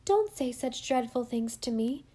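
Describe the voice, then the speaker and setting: A woman reading the part of an earnest young woman in love, her voice lifted higher. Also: normal speed, mellow, natural voice. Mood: earnest, pleading. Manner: earnest, raised in pitch.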